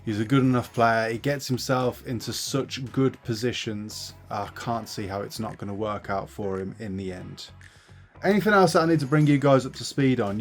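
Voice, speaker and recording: A young man, chatting casually and rambling to his phone camera outdoors around a football stadium. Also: mid-range voice. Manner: chatty, rambling, self-mocking. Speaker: football fan vlogger.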